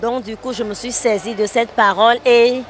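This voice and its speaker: A woman's voice: fairly high pitch, fairly even intonation, moderate pace, slight background noise. A young woman giving a testimony in an interview into a handheld microphone in a large hall. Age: young adult. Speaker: convention attendee. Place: large hall with rows of empty chairs.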